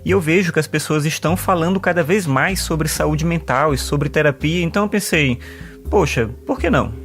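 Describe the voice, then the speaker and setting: A man's voice: a little high, moderate pace, fairly bright tone. A man playing a talkative male philosopher and sociology teacher in an audio drama, earnest and fond of quoting thinkers, with faint music underneath. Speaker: patient.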